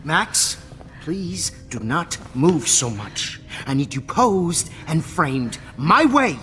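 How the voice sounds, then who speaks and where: A man's voice: on the high side, sing-song, takes it slowly, sharp tone, slight background noise, full character voice. An actor playing a sinister, obsessive photography teacher in a video game, menacing and pompous, lingering on his words. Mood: menacing calm. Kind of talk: dramatic game cutscene acting. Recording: echoey hall, music underneath.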